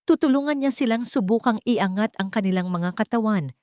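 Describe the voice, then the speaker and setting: A woman's voice: a little high, lively intonation, a little fast, darkish tone, no echo, studio quality. A woman narrating a scripted dramatic story as an audio drama narrator.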